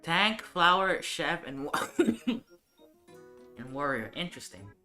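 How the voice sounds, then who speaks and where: Streamer type: bright, sharp tone, talks in loose rambling bursts with restarts, echoey room, faint game music behind. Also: medium pitch, expressive, takes it slowly. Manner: rambling, thinks aloud, frequent restarts. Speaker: live streamer. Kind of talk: casual live-stream chat while gaming.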